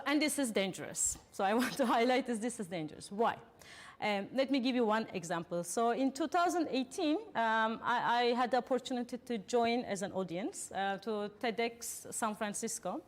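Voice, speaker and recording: A fairly high-voiced woman from Turkey, speaking English as a second language. She hosts a panel and walks the audience through figures in an earnest, unpolished way, pausing often on fillers and restarting sentences. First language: Turkish. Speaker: panel host. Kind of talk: presenting statistics to an audience.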